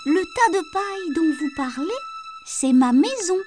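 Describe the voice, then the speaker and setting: A woman's voice: extremely high pitch, big pitch swings, measured pace, music in the background, pitched above the performer's own voice, exaggerated voice. A woman voicing a cheeky, defiant little boy pig in a storybook tale. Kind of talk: storybook character voice.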